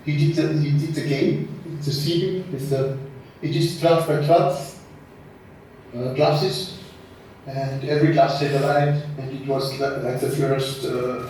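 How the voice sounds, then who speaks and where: A man from West Austria with an Austrian German accent, a German speaker recalling past exhibitions in halting English full of repeats and restarts, in a large exhibition space. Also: a little high, mellow, slight background noise. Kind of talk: casual recollection on a guided tour. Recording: roomy hall, speaker far from mic.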